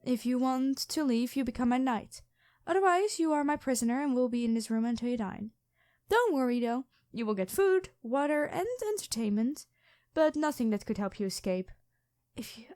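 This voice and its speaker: An imperious dragon queen in human form, acted by a woman, speaks in a fairly high, mellow voice. She is regal and condescending, laying down terms with calm menace. It is an intimate ASMR roleplay, recorded very dry. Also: normal speed, dry recording, lightly acted. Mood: commanding, condescending, then reassuring, insistent. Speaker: voice actor in an audio roleplay. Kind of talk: ASMR roleplay monologue addressed to the listener.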